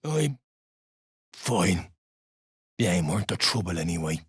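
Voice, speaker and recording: Surly game dwarf fighter, heavily rasping voice, swooping pitch, coarse and mercenary. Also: male voice, big pitch swings, measured pace, no echo, clean studio sound, exaggerated voice. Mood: gruff, surly. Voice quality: rough, husky. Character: gruff, foul-mouthed, mercenary duergar dwarf fighter. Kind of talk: video game character lines.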